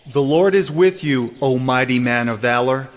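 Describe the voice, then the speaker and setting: A man playing a calm, majestic angel in a dramatized scripture reading, assured, formal and commanding. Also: medium pitch, moderate pace, close to an everyday voice. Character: angel of the Lord, calm, majestic male messenger. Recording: long reverb, music behind.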